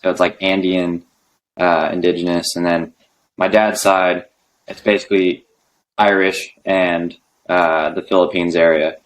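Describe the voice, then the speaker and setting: A young man speaking as a podcast guest, relaxed and hesitant, with many ums. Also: low voice, measured pace, rough recording. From United States. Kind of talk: casual interview conversation.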